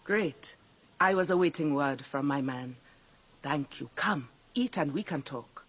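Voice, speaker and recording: An actress playing a guarded, brave mother in a video game, calm and matter-of-fact. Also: sits low, measured pace, slight background noise. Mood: welcoming. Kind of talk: video game cinematic acting.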